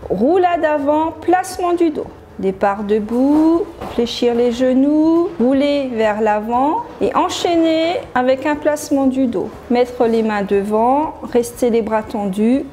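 A woman in her thirties, a gym coach, giving clear step-by-step exercise instructions in a living room. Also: sits high, warm tone.

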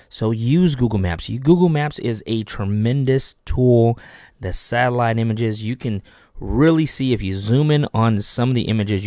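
A man in his thirties from Texas, hosting a fishing channel, giving animated, repetitive tips to camera from a small home studio on a desk microphone. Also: mid-range voice, no room sound. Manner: animated, repetitive coaching with lots of hand gestures. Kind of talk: instructional video talk to camera. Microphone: desk microphone on boom arm.

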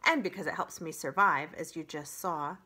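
A woman voicing a theatrical, excitable, boastful female opossum from a children's storybook, with a dramatic, gushing delivery. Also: sits low, normal speed, slightly rough, exaggerated voice.

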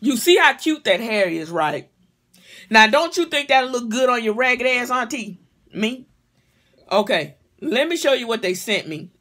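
A woman's voice: fairly high pitch, big pitch swings, a little slow, fairly bright tone. A middle-aged woman chatting casually in a home vlog, blunt and full of swearing, with comic exasperation. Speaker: vlogger reviewing a wig. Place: small living room or bedroom at home, indoors. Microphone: phone or webcam at arm's length.

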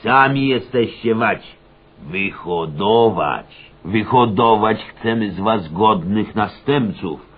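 Slow, muddled, pompous lecturer. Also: male voice, fairly low pitch, expressive, husky, heavily acted. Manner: hesitant, pompous, garbles sayings, filler-laden. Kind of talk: satirical radio comedy acting. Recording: dull narrow-band radio recording.

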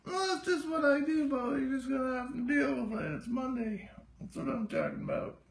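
A performer voicing a vain, sassy female house cat with a raspy, drawling voice, live-streaming from a home kitchen on a phone. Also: sits high, lively intonation, reverberant, slight background noise. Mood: smug. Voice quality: raspy, gravelly. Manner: slow, drawling, full of herself. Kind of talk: live-stream pet narration. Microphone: phone camera held by the speaker.

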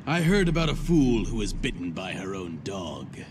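Lowish, arrogant villain lord. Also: male voice, very animated, moderate pace, background noise. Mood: disdainful. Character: arrogant, sneering aristocratic male villain lord. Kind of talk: video game villain acting.

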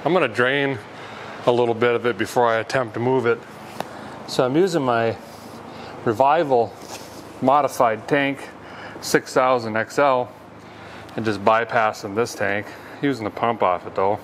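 A man in his thirties talking casually as he works, with a folksy, deadpan, rambling humor, as host of a garage repair vlog. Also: medium pitch, moderate pace, pauses often. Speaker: host of a car repair show. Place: large auto repair garage with concrete floor. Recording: clear background noise, garage ambience.

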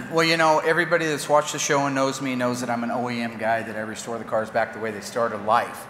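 A man's voice: medium pitch, flat delivery, fast talker, a bit bright, a little hiss or hum. A man in his fifties, a car restorer, chatting casually and jokingly on a press event stage. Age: 50s. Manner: brash, joking, enthusiastic. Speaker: car restorer and show guest. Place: large convention hall stage. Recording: echoey convention hall with faint ambience.

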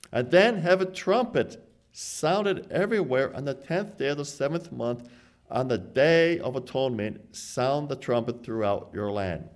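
An earnest man in middle age delivers a short sermon at a church lectern. He slows down to read scripture with weight and then speeds up to explain it with emphatic stresses. His voice has an ordinary middle pitch and carries into a lectern microphone. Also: a little mellow. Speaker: church speaker. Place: church hall, standing at a lectern.

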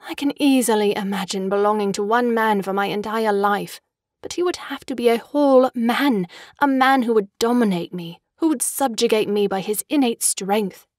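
A woman's voice: mid-range voice, moderate pace, a little mellow, no room sound, studio quality, close to an everyday voice. An actress playing a fickle, imperious young woman in an audio drama, poised and articulate, with a mocking manner. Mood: assertive.

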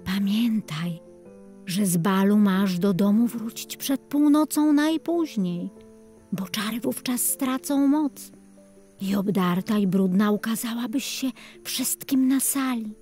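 Woman as a sweet, gentle fairy sorceress. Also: mid-range voice, takes it slowly, faint music behind, close to an everyday voice. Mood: warning. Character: young, beautiful, kindly fairy sorceress.

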